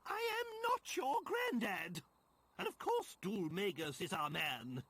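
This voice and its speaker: Raspy, fussy old king, wildly swooping. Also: high-pitched, huge pitch swings, unhurried, a bit bright, no echo, heavily acted. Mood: indignant. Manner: theatrical, old-fashioned exclamatory speech. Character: pompous, fussy old cursed king, indignant and theatrical. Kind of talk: video game character acting.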